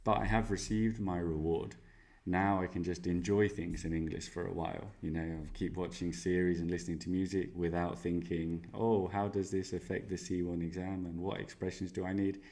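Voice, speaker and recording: Man doing a young male student, Spanish accent, upbeat and jokey, low voice. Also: little pitch movement, normal speed, a little mellow. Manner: upbeat, jokey, boastful asides. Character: young male language student, cheerful and self-mocking. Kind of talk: role-played exam interview answers.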